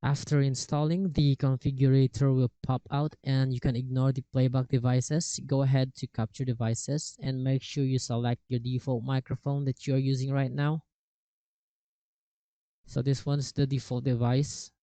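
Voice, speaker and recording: A man walks through a software tutorial in a mellow, relaxed voice. His sound is smooth and close, with very little room to it. The phrasing is casual and a bit halting as he moves from step to step. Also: mid-range voice, no room sound. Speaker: tutorial host. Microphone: cheap desktop microphone with software processing.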